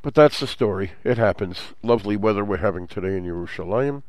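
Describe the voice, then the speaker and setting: A man's voice: lowish voice, animated, fast talker, no room sound. A man with a stuffed-up, nasal voice hosting a Torah study program, explaining scripture steadily and mixing in Hebrew terms. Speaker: host. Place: Jerusalem.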